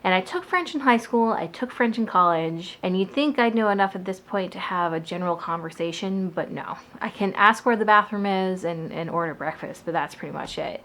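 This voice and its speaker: A woman's voice: lowish voice, fairly quick, few pauses, fairly bright tone. A young woman from the Boston area, a book vlogger chatting casually to camera, rambling with lots of asides.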